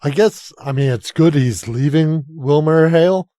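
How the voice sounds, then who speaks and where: A man's voice: fairly high pitch, measured pace, mellow, dry recording, clean studio sound. A man co-hosting a casual political podcast, stammering through restarts and swearing freely. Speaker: podcast co-host.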